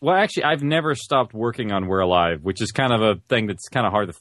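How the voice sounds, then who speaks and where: A man's voice: on the low side, lively intonation, quick pace, a bit bright, dry recording. A man talking casually as a podcast guest, conversational and modest about his own work. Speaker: guest, audio drama creator. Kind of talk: casual interview chat.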